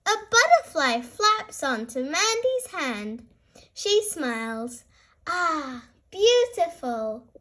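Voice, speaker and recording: A high-voiced woman reads a children's picture book aloud. Her delivery is slow and expressive, with a lively melody that swings up and down for a very young audience. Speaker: storyteller, channel host.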